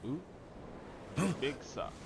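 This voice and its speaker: A man giving casual, laconic play-along commentary as he streams a game, chill and slangy. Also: very slow. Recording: loud game noise and clicking. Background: game audio, clicks.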